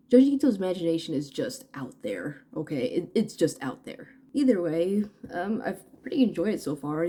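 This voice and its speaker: Mellow low-voiced young woman rambling. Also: husky. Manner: rambling, full of "like" fillers. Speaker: vlogger. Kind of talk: casual chat to camera. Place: at home, indoors.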